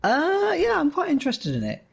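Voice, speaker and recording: A British man co-streaming a game, talking in a relaxed way with stammering restarts. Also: very high-pitched, normal speed, creaky, highs cut off, soft background music. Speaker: co-streamer guest. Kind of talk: casual gaming stream chat.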